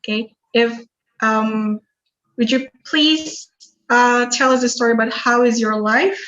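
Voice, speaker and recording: A woman's voice: a little high, a little slow, a bit bright. A woman from Indonesia moderating a webinar over a video call, chatty as she reads out audience questions. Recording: dull lo-fi video call audio.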